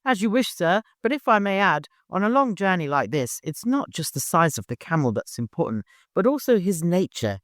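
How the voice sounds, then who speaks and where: A man's voice: high voice, big pitch swings, moderate pace, few pauses, a bit bright, no echo, studio quality, pitched above the performer's own voice. A man voicing a chatty, proud camel keeper in an audiobook, deferential, long-winded and eager to please.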